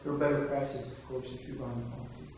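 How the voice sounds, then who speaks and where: A man from the Czech Republic, a native Czech speaker with a Czech accent, answering audience questions in a halting, casual way with many fillers and restarts. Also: medium pitch, little pitch movement, lo-fi sound. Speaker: Bible translator answering questions. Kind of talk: casual Q&A talk. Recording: very echoey hall, faint noise.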